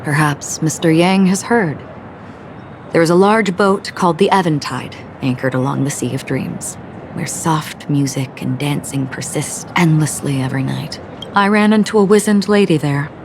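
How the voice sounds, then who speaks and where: An actress voicing a calm, forgetful young swordswoman in a game, speaking in a measured, solemn way over game music. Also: a little hiss or hum. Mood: reflective. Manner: measured, low, solemn delivery. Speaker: wandering swordswoman. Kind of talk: game voice acting. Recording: game audio with clear music behind.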